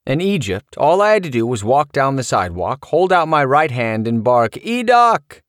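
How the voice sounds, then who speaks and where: A middle-aged man narrating his own memoir as an audiobook, telling it in an animated, expressive way. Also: mid-range voice, moderate pace, dry recording, clean studio sound.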